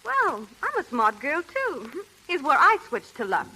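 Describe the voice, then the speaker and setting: A woman's voice: sits high, wildly swooping pitch, unhurried, sharp tone, full character voice. A woman playing a sassy, wisecracking American wife in a 1930s radio advertisement, snappy and slangy. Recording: noisy vintage 1930s radio recording.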